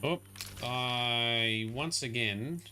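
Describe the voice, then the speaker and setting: A man's voice: mid-range voice, very slow, bright, forceful tone, a little hiss or hum. A man giving chatty, rambling live commentary while he plays a game and talks to his chat. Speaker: streamer. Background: faint game music.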